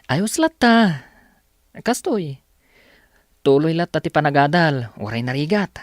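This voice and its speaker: Earnest young Filipino student, Ilocano accent. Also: male voice, a little high, very animated, measured pace, dry recording, clean studio sound. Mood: friendly, reassuring. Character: Oliver, earnest young male student, helpful cousin and friend. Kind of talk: Ilocano radio drama acting.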